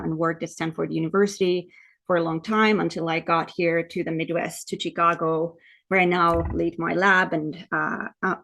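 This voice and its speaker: A woman's voice: lowish voice, creaky, a bit bright. A woman from Finland with a Finnish accent, presenting like an academic lecturer on a video call, explaining and often repeating words as she goes. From Lapland, Finland. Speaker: academic researcher presenting at a webinar.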